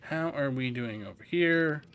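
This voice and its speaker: A man's voice: fairly high pitch, unhurried, a bit bright, slight background noise. A man giving a casual game walkthrough, explaining things as he goes and thinking aloud. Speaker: gameplay guide host.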